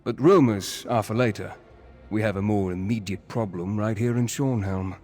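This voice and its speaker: An actor playing a suave lesser noble in a fantasy video game, measured, articulate and wry as he shares gossip. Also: lowish voice, a little mellow, faint music behind, natural voice. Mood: emphatic, confiding.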